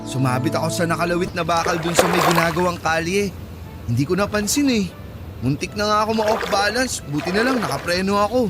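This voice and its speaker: A man's voice: sits high, a little fast, over loud music, lightly acted. A man playing a playful, affectionate young boyfriend and son who teases and coaxes in a Tagalog radio drama. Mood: casual explaining. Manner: teasing, coaxing.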